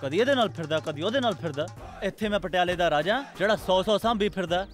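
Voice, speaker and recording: A high-pitched young man with a Punjabi accent , played by an actor, brags as a flippant college playboy in a film comedy. Soft music sits behind him. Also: fairly quick, close to an everyday voice. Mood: boastful. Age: young adult.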